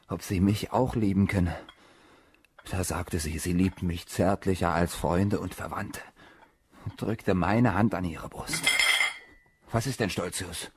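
An actor playing a lovesick, commanding young officer in a German radio play, impatient and pleading. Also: male voice, a little mellow, exaggerated voice. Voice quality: husky, breathy low voice.

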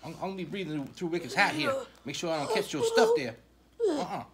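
Woman with a lively, bouncing voice. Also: fairly low pitch, quick pace, noisy background. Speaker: puppeteer voicing plush toys. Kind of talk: improvised toy comedy skit. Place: living room couch.

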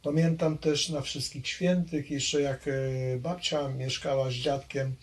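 A middle-aged man from Poland, slow and reflective, recalling his childhood in an oral history interview with many pauses. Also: a little high, fairly even intonation. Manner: hesitant, reflective, trailing off.

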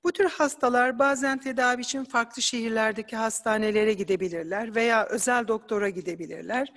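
A woman giving an academic conference presentation, explaining slides in a measured way with occasional hesitant fillers. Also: fairly high pitch, fairly even intonation, a bit bright, long reverb tail.

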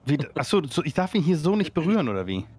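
A man playing a tabletop game, chatting casually and haltingly as he works out his moves. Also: fairly high pitch, big pitch swings, darkish tone, slightly rough.

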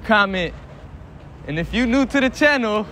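A man's voice: high voice, animated, moderate pace, sharp tone. A young adult man chatting casually as a prank vlog host, slangy and peppering his talk with bro and boss. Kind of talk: casual chat in a hidden prank. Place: large warehouse store, later parking lot. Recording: noisy store and outdoor ambience.

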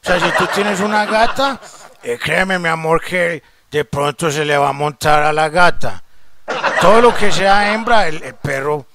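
A Colombian man making a comedic prank call, smooth-talking and full of pet names. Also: fairly high pitch, normal speed, pushed and bright. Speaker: prank caller, show host. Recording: very noisy phone-call recording.

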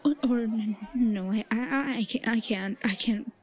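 A woman's voice: a little high, measured pace, lightly acted. A woman playing a timid, lovestruck yandere schoolgirl in an audio roleplay, stammering and murmuring breathily with hesitation. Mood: hesitant, anxious. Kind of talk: intimate audio roleplay acting.

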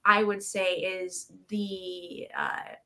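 A middle-aged woman speaks as a musician guest in an interview. Her voice is bright and forceful at a medium pitch, and she chats casually over a video call. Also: little pitch movement, drawn-out words. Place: indoor room, video call.

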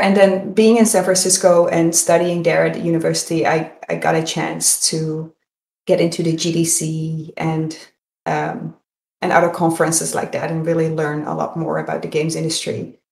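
Lady on a podcast, low voice, bit of an echoey room, chatty interview answers. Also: flat delivery, normal speed, gravelly, darkish tone. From Netherlands. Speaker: podcast guest. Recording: roomy, echoing sound.

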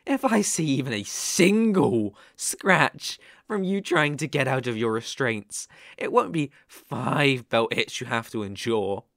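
A man's voice: medium pitch, lively intonation, measured pace, vocal fry, hardly any pauses, fairly bright tone, no room sound, studio quality. A man voicing a sadistic, possessive kidnapper in an ASMR roleplay, taunting and menacing with a mock-sweet manner. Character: sadistic, possessive male psycho yandere kidnapper.

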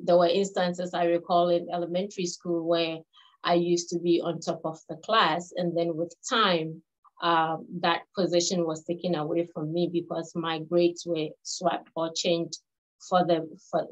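Woman from Ghana, fifties, second-language English, measured webinar presenter; flat delivery, muffled call audio. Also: fairly low pitch. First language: not English. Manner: measured, with frequent pauses and restarts. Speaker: health researcher presenting. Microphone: video call.

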